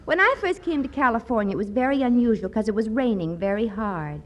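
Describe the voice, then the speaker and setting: A woman's voice: on the high side, lively intonation, a little fast, dull audio, a crowd in the background, natural voice. An actress playing a naive, sweet young single mother who rambles guilelessly in a 1940s radio comedy. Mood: artless, rambling. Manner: guileless, rambling, simple folksy talk. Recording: noisy old 1940s radio recording.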